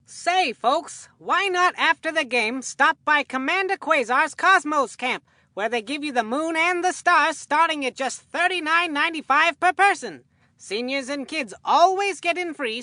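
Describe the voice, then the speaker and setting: A woman voicing an excitable, folksy male baseball commentator in a video game, with a hammy announcer delivery. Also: sits high, dry recording, studio quality. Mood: folksy, salesman-like. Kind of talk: scripted video game sports commentary with puns.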